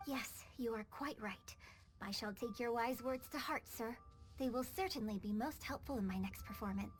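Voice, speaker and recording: A woman playing a polite, modest young opera performer in a video game, with formal, gracious, measured speech. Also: a little high, close to an everyday voice. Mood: humble, gracious. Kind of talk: animated game cutscene dialogue. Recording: music behind the voice.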